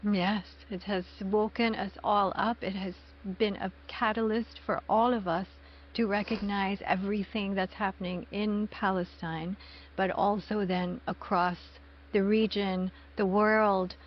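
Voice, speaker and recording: Calm woman podcast host. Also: medium pitch, unhurried. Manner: calm, encouraging, short affirmations. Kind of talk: reflective interview talk. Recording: dull lo-fi audio with faint noise.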